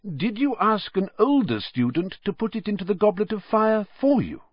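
A calm, courteous elderly headmaster, polite and unhurried, voiced by the male narrator. His voice has a husky edge. The audiobook recording is dry and slightly dull. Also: high-pitched, no echo, pitched above the performer's own voice.

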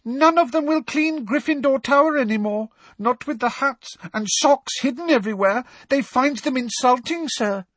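A man voicing a small, eager male house elf with a squeaky, high, deferential voice. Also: moderate pace, hardly any pauses, fairly bright tone, no room sound.

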